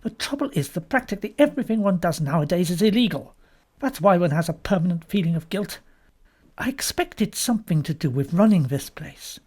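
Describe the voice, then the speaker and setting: A woman's voice: lowish voice, expressive, a little fast, a little mellow, slightly rough, voice pushed up. A woman voicing an affectionate, practical young husband with a casual, reassuring way of talking. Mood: grumbling.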